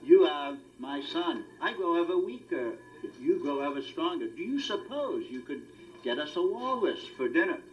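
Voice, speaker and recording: A man's voice: on the high side, very animated, normal speed, a little hiss or hum. A man voicing a solemn old house father. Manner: solemn, chanting repetition. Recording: muffled lo-fi recording.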